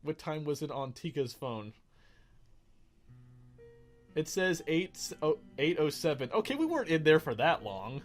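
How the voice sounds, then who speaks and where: A man's voice: a little high, sing-song, unhurried, long pauses, soft background music. A man doing casual, rambling game commentary as a let's play host, often correcting himself as he goes.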